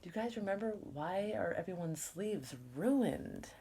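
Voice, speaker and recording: A woman's voice: medium pitch, animated, a little slow, noisy background. A woman teacher reading a story aloud expressively, with casual, instructional asides to her students.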